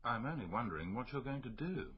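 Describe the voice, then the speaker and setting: A man's voice: mid-range voice, sing-song, quick pace, breathy edge, highs cut off. An actor playing a terse, guarded male doctor, clipped and hesitant, in naturalistic radio drama. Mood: reserved, wary.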